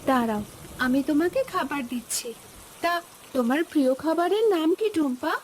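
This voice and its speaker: A woman voicing a kindly, gentle magic fairy in a cartoon. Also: sits high, animated, brisk, slight background noise. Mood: kind, reassuring. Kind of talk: cartoon voice acting.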